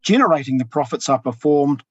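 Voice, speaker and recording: A man with a husky voice, giving a measured academic talk over a video call, often pausing with ums. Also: mid-range voice, animated, dry recording. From New Zealand. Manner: measured, with frequent ums and pauses. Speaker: tax professor presenting. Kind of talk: academic webinar presentation. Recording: dull lo-fi video call audio.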